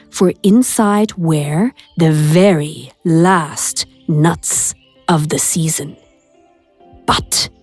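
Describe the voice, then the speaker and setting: Woman reading a children's story slowly over music. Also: lowish voice, darkish tone. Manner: dramatic, deliberate storytelling delivery. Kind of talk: expressive read-aloud of a rhyming children's picture book. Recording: music behind the voice.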